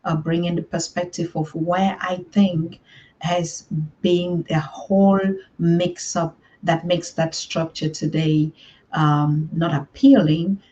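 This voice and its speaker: A woman's voice: on the low side, flat delivery, slow, dark tone, muffled recording. A woman from southern Cameroon, a guest on an online interview, who speaks thoughtfully with many ums and uhs.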